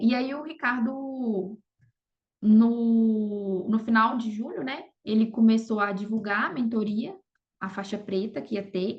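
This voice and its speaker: High-voiced Brazilian woman, dull recording. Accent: Brazilian Portuguese. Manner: conversational, frequent tag questions seeking agreement. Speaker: podcast guest, affiliate marketer. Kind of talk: casual interview storytelling. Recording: dull lo-fi audio.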